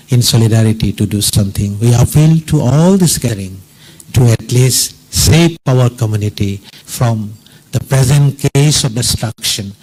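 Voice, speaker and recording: Man giving an earnest appeal at a gathering, mid-pitched voice. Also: a little mellow, poor audio. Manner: earnest, pleading. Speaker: conference speaker. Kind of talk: formal appeal to an audience. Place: conference hall.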